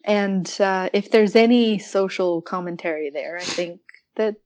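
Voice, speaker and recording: A woman's voice: mid-range voice, a little slow, darkish tone, no echo. A woman chatting casually and enthusiastically about literature as a podcast guest.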